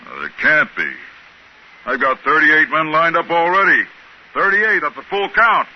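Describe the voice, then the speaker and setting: An American actor playing a gruff, talkative spaceship captain in a 1950s radio drama. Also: male voice, fairly high pitch, lively intonation, moderate pace, lightly acted. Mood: commanding, baffled. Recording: dull band-limited 1950s radio recording.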